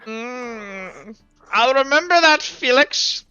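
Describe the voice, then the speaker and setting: Man putting on a high-voiced, smug fat gatekeeper. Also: lively intonation, slow, sharp tone, clean tone, muffled recording, faint music behind, full character voice. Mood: smug, grudging. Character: fat, grudging male gate guard with jiggling chins.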